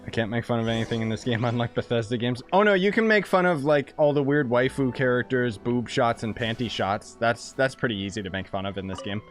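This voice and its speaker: A man's voice: mid-range voice, a little fast, hardly any pauses. A male game streamer chats casually over gameplay. His mid-range voice is slangy and flippant, with an irreverent way of commenting. Light music sits in the background.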